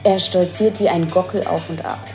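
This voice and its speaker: A woman playing a curious, bemused onlooker who describes a film scene in an audio drama, halting and savouring the details. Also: fairly low pitch, steady tone, warm tone, close to an everyday voice. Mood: bemused, intrigued. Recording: music behind the voice.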